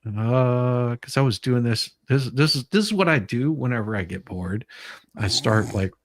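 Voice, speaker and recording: Male stream host, mid-pitched, informal and rambling, dry close recording. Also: moderate pace, no room sound. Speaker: livestream host. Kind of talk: casual livestream chat.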